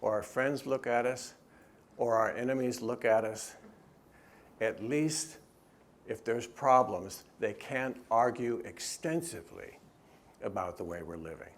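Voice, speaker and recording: A middle-aged man, the author, gives an earnest talk at a church and answers questions, often stopping and restarting. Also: on the low side. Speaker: author and speaker.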